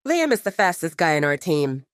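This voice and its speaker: An eager, proud young sportsman, voiced by a woman narrator in an audiobook drama. He boasts casually, with a lively rise and fall. It is recorded dry. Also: low voice, moderate pace, clean tone, no room sound. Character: eager, proud young male team player.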